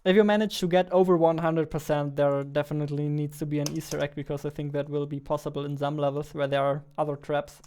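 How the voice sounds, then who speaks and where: A young man talks casually as he streams his game development work. His voice is fairly high for a man and quite smooth, with little rise or fall in pitch. He thinks aloud haltingly, often half to himself. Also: little pitch movement, a little mellow. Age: 20s. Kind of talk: casual livestream chat while working. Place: indoor room at a computer, keyboard clicks behind.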